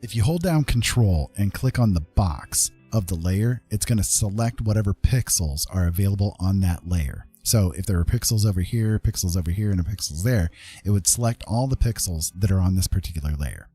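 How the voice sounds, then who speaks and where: A man with a deep, dark, slightly rough voice teaches digital art on a casual stream. He is informal and easygoing, tagging his points with little check-in questions. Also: lively intonation, brisk, faint music behind. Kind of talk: casual live tutorial talk.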